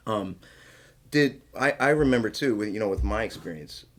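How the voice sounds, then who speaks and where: A man's voice: on the low side, expressive, normal speed. An American man hosting a podcast, chatting casually and sharing his own stories as he interviews. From small-town rural United States. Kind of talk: casual chat, conversational interviewing.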